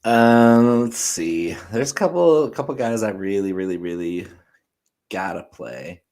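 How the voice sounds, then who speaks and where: A man co-hosting a casual fantasy sports show, relaxed and repeating words as he thinks. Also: fairly low pitch, a little slow. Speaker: co-host.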